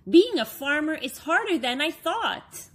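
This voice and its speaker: A woman's voice: sits high, sing-song, measured pace, fairly bright tone, pitched above the performer's own voice, heavily acted. A woman voicing a lovable, overconfident male furry monster from a storybook, with cheerful, emphatic exclamations. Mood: dismayed.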